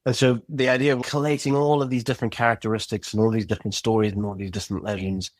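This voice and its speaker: A man's voice: fairly low pitch, little pitch movement, quick pace, no room sound. A man who grew up in Zimbabwe, a podcast guest talking thoughtfully and searching for words, often restarting and checking "you know what I mean". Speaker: podcast guest, audio drama creator. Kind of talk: reflective interview conversation.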